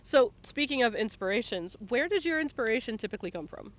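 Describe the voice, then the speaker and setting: A man with a high-pitched, bright voice hosts a casual streamed interview. He banters easily with his guest and offers encouragement, swearing here and there. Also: lively intonation, quick pace. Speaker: interviewer and host.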